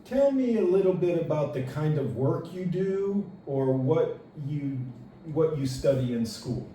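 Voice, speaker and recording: A man's voice: fairly high pitch, lively intonation, measured pace, mellow. A man acting as examiner in a mock speaking test, giving brief, encouraging prompts. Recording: echoey room, far from mic, faint noise.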